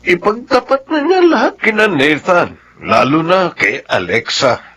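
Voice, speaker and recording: An actor playing a frank, sympathetic husband in a Filipino radio drama, speaking with a Filipino accent. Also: male voice, sits high, very animated, a little slow, sharp tone, husky, full character voice. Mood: frank, concerned. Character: chatty, sympathetic middle-aged husband, frank and good-humored.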